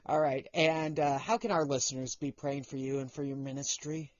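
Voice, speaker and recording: A man's voice: medium pitch, flat delivery, moderate pace, dry recording, highs cut off. Dry-voiced male podcast host. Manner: easygoing, joking, with fillers. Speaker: podcast host and news reader. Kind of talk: casual interview chat and read news segment.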